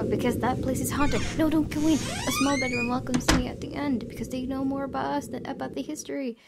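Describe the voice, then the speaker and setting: A woman doing a let's play, reading visual novel text aloud expressively with spontaneous, giggly asides. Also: fairly high pitch. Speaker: gaming video host. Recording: noticeable background noise and ambience.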